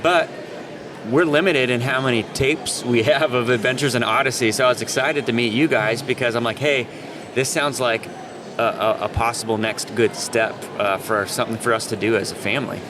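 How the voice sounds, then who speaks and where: Male podcast co-host, average pitch, casual interviewing chat, clearly noisy crowd background. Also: steady tone, moderate pace. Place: convention booth, live recording. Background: crowd ambience.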